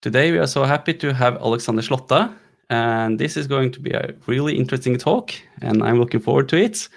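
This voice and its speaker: A man hosting a developer meetup over a video call, introducing the speaker in a loose, halting way with fillers. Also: mid-range voice, gravelly. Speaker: meetup host.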